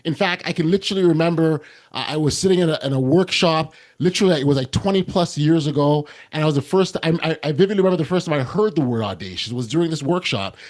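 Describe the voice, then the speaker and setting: Middle-aged male podcast host, loud and earnest, slightly higher pitch, stumbles and restarts often. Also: quick pace. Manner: earnest, many restarts and repeated words. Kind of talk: casual interview chat.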